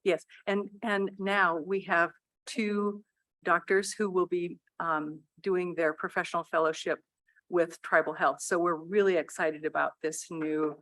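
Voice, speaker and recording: Woman, presenter, polite, hesitant fillers, lowish voice, dry recording. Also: fairly bright tone, dry recording. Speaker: program presenter addressing council. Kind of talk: formal presentation. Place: council meeting room.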